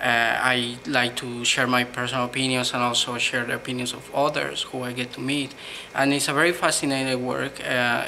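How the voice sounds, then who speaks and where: A man from Peru with a Peruvian Spanish accent, answering interview questions in earnest, measured English with frequent ums. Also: mid-range voice, creaky, pushed and bright, a little hiss or hum, low-quality recording. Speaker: blogger, interview guest.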